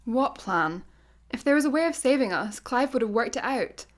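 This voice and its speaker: An actress playing a brisk, exasperated space satellite worker in a sci-fi comedy radio play, blunt and no-nonsense. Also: female voice, a little high, animated, lightly acted.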